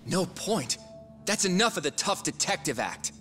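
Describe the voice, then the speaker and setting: A man's voice: sits high, very animated, normal speed, fairly bright tone, soft background music. A man playing an earnest, hot-headed young lawyer in a video game cutscene, pleading and insistent. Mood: frustrated pleading. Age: young adult.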